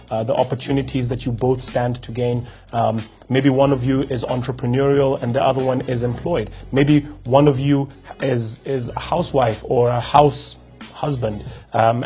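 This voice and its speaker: A man of middle pitch gives a motivational talk to camera. He speaks reflectively and often restarts his sentences as he turns over rhetorical questions. Faint music plays under his voice. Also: a little mellow. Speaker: host.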